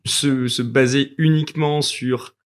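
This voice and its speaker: A man's voice: medium pitch, steady tone. Man hosting a podcast interview. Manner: asks long questions with pauses and fillers. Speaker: podcast host. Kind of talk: interview questions.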